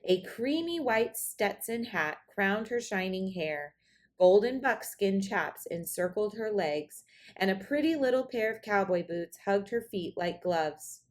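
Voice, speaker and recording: Woman, children's read-aloud, slow pace, very lively intonation, dramatic storytelling. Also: medium pitch. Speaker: storybook reader.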